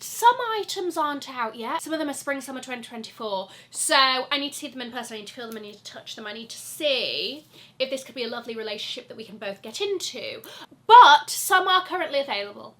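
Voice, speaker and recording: Bright, high-voiced woman, stuffy from a cold. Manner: gushing, animated, chatty. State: congested from a cold. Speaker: luxury fashion vlogger. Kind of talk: chatty sponsored wishlist vlog.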